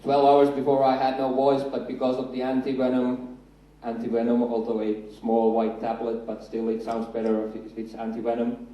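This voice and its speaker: Man, Finnish accent, 30s, conference speaker, chatty, self-deprecating, mellow tone, handheld mic, large echoey hall. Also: medium pitch, completely flat delivery, normal speed, recorded from a distance. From Finland. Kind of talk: conference talk with jokes.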